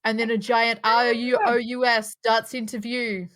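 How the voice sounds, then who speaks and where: A woman's voice: on the high side, little pitch movement, normal speed, a bit bright. A woman reading stage directions aloud at a live table read, in an informal narrating style. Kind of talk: live script narration with casual asides.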